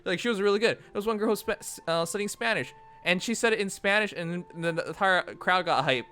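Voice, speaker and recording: A young man chatting casually on a livestream, animated and rambling, often breaking into laughs. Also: high voice, fast talker. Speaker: streamer. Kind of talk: casual stream chat. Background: faint music.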